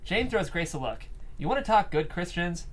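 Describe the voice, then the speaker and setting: Casting: female script narrator, low register, highly expressive intonation, live-read feel. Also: normal speed. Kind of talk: reading stage directions aloud at a table read. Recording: faint room noise behind voice.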